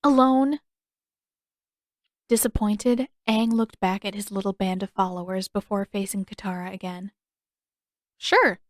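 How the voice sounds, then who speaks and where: Woman, audiobook narrator, scripted storytelling, medium pitch, dry close recording. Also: little pitch movement, moderate pace, few pauses, mellow, dry recording. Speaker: fan fiction narrator.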